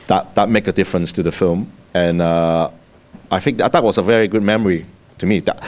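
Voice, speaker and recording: Low-voiced male filmmaker with a Hong Kong accent, hesitant panel speaker. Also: a little mellow. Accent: Hong Kong Cantonese. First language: Cantonese. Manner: halting, repeats words, many fillers. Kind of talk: festival panel talk.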